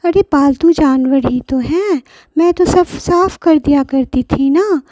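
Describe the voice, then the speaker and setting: A tearful old grandmother begs her family in a high, strained voice, played by a woman storyteller. She sounds helpless and imploring, with a mellow, dark tone. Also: a little slow, dry recording. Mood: weeping. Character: elderly grandmother, pleading and tearful.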